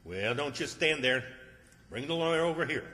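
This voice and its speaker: A man acts out a folksy country fellow forever exasperated and worried. His bright voice leaps up and down as he stammers objections in radio comedy style, ringing through a hall. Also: a little high, lively intonation, normal speed. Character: exasperated, anxious rural man in a fix. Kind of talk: old-time radio comedy acting. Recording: reverberant hall stage recording.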